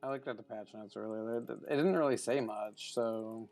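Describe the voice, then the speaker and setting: A man's voice: lowish voice, moderate pace, darkish tone, faint music behind. A man from California with a Californian accent, chatting casually with a gaming friend in a rambling, hesitant way. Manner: rambling, hesitant, lots of "dude" and "like". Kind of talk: casual gamer chat.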